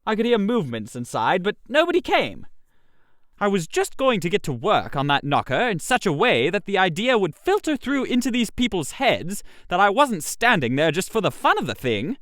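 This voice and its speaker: Guy reading a funny story, theatrical, highish bright voice, super dry recording. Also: normal speed, no room sound. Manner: theatrical, expressive. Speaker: narrator. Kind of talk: animated comic story narration in first person.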